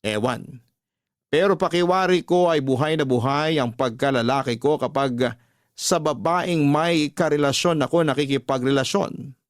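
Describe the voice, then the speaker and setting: A man's voice: fairly high pitch, moderate pace, dry recording, clean studio sound, lightly acted. An actor playing a young man who confesses an affair, in earnest, scripted first-person radio drama narration. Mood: confiding, unrepentant. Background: faint music.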